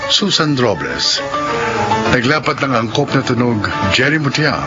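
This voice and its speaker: Formal male radio announcer over loud music. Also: mid-range voice, big pitch swings, dull audio. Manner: formal, deliberate phrasing. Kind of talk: formal scripted closing credits.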